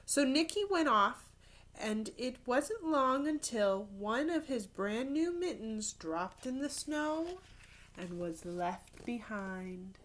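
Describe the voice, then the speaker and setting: A woman teacher reading a picture book aloud to children in an expressive storytelling style, with casual explanatory asides. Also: fairly high pitch, slow, rough recording. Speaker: third grade teacher reading to pupils.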